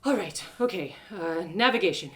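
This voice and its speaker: Casting: woman performer as naive young heroine of an audio drama, stranded and betrayed, plucky, melodramatic, very animated delivery. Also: lowish voice, moderate pace. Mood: flustered. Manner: dramatic, exclaiming, stammering in distress. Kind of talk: first-person audio drama storytelling.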